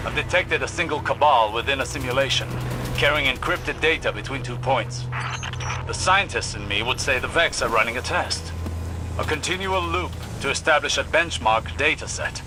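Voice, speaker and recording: A man's voice: medium pitch, moderate pace, noisy background, close to an everyday voice. Man as a solemn, scholarly sage. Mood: analytical. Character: solemn scholarly male sage, cryptic and analytical. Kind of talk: video game cutscene acting.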